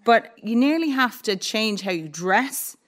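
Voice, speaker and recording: A woman's voice: fairly high pitch, expressive, measured pace. A young woman, a guest on a talk show, telling her story in a plain, matter-of-fact way. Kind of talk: casual interview chat.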